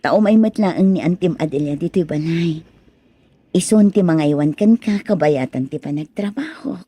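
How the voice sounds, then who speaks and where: A woman's voice: lowish voice, animated, normal speed, mellow, husky. A woman playing a remorseful, loving mother who speaks tenderly and pleadingly in a radio drama. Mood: tender, grateful. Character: remorseful, loving mother back from working abroad.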